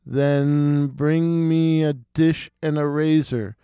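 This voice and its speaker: A man voicing a sinister, commanding dead man risen from his tomb in a folktale, with drawn-out delivery. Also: a little high, steady tone, mellow, smooth, dry recording, clean studio sound. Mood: grim command. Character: commanding male walking corpse, blood-drinking and sinister.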